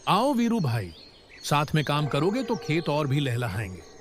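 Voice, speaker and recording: Man acting as earnest, animated honest farmer. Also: fairly high pitch, a little slow, background noise. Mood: friendly. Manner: earnest, righteous. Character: honest, hardworking, forgiving young village farmer. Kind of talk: animated moral story acting.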